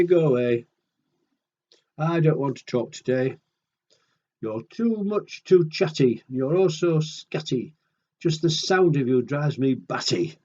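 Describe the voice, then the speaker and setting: A man's voice: mid-range voice, lo-fi sound, close to an everyday voice. A man voicing a grumpy female storybook bird who crossly and emphatically shoos everyone away in rhyme. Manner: loud, emphatic rhyming refusals.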